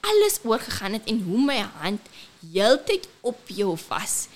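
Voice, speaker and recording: A South African woman with a squeaky, childlike voice, speaking earnestly as a ministry host and switching between English and Afrikaans. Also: sing-song, measured pace. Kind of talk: personal testimony and event announcement.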